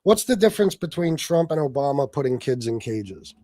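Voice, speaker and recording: A man's voice: mid-range voice, very animated, fairly quick, mellow, dry recording, clean studio sound. A man hosting a livestream, arguing heatedly with a caller, cutting in and reading facts aloud. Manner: combative, cuts in over the caller; reads search results aloud. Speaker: livestream host. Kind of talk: heated call-in debate.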